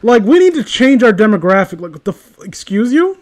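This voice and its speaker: A man with a high-pitched voice chats casually and rants as he goes. He rambles through his opinions, exasperated and sweary. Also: big pitch swings, a little fast. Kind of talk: casual ranting chat.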